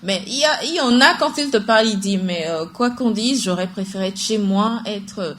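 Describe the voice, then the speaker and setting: A woman co-hosting a radio talk show discussion, often backing the other speaker and filling pauses with hesitant fillers. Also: medium pitch, animated, a bit bright.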